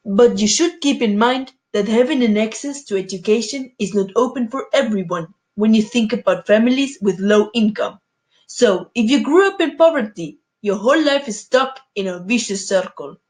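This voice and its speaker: A woman's voice: fairly high pitch, lively intonation, moderate pace, darkish tone, lightly acted. A woman voicing a forty-year-old male immigrant who now works as a manager, telling his own story in an earnest, first-person school presentation. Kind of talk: read-aloud school presentation. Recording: echoey room.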